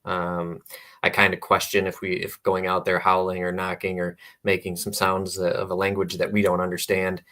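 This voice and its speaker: A man, a guest author, talking in a relaxed interview with many pauses and fillers and frequent laughs. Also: sits low. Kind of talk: casual interview conversation. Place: radio or podcast interview.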